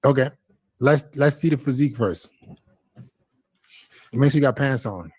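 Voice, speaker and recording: A man's voice: mid-range voice, moderate pace, darkish tone, slightly rough, dry recording. A man bluntly questioning someone and giving critical advice in a casual way.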